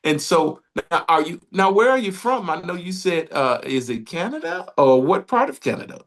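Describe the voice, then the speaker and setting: A man's voice: a little high, big pitch swings, normal speed, fairly bright tone. Enthusiastic man hosting an interview. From Detroit, Michigan. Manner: enthusiastic, rambling, laughs often. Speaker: radio interview host. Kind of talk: casual interview chat.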